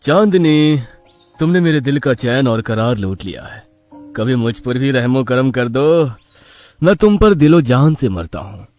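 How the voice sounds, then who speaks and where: A man's voice: medium pitch, very animated, a little slow, darkish tone, faint music behind. A man voicing a lecherous, threatening young village ruffian in a cartoon story. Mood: leering. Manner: threatening, leering. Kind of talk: Hindi cartoon story voice acting.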